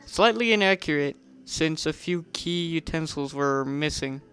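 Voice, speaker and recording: A narrator giving a first-person dramatic story reading in an animated way, sometimes stumbling and rereading lines. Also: medium pitch, measured pace, clean tone, soft background music. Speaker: narrator reading a fan fiction.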